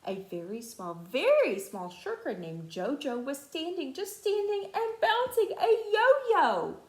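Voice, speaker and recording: A woman teacher reading a children's storybook aloud to students in an expressive, dramatic way. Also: high voice, unhurried, echoey room.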